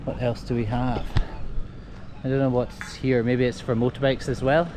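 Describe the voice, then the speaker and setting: A man's voice: mid-range voice, little pitch movement, normal speed. Cheerful guy vlogging outside, chatty, cars going by behind. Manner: upbeat, chatty, greets passers-by. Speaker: travel vlogger. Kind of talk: casual walking vlog narration. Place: outdoors on a busy street. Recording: street traffic noise behind.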